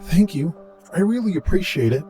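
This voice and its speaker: A man voicing a strange, eager lost wanderer who speaks in an overly polite, halting way, in a storytelling narration. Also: high-pitched, lively intonation, dark tone, soft background music, voice pushed up. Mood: polite, friendly.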